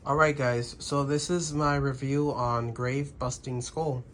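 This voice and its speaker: A slightly hoarse man, half sick, casually reviewing a prop in a bedroom with frequent throat clearing and restarts. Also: medium pitch, steady tone, unhurried, background noise.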